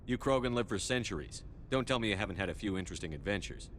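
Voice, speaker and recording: A deep-voiced space commander from a video game. He is firm, steady and clipped, used to giving orders, with faint rumble behind. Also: fast talker. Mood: firm, probing. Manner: authoritative, clipped. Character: male human military starship commander, firm and steady.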